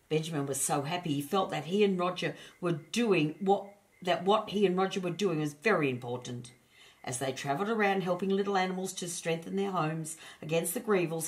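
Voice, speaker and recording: A woman narrating a children's storybook as an expressive storyteller. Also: on the low side.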